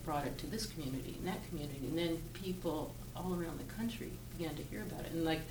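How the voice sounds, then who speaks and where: An older woman with a Virginia Southern accent talks in a low, unhurried voice, often pausing with "uh" and laughing as she remembers. The mic sits far off in an echoey room. Steady background noise runs underneath. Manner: reflective, frequent "uh" pauses, laughs easily. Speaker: interviewee. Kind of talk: oral history interview. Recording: roomy, distant mic, noisy background.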